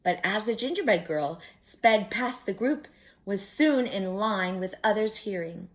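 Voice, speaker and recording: Lady doing storytime for little kids, really expressive, takes it slow, explains things simply. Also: mid-range voice. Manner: explains pictures simply, sometimes dropping to a hush. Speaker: children's storyteller and teacher. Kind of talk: animated picture-book read-aloud with asides to children.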